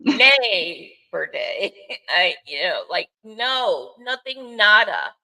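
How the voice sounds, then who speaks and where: A woman's voice: mid-range voice, sing-song, drawn-out words, pushed and bright, low-quality recording. An older woman with a Bronx accent, co-hosting a sports podcast in casual, unscripted chat with wry, self-mocking asides. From the Bronx, New York, United States.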